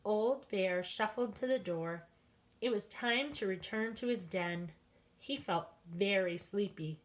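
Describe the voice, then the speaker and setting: A woman reads a children's bedtime story aloud. Her pitch is middling and her pace is leisurely. She is deliberate and expressive, as a storyteller.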